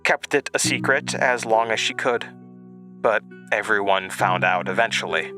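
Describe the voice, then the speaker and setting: A man voicing a gentle, wistful, free-spirited woman in an audio drama, speaking philosophically and hesitantly. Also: on the low side, moderate pace. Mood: sad, wistful. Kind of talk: quoted dialogue in audio drama. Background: music.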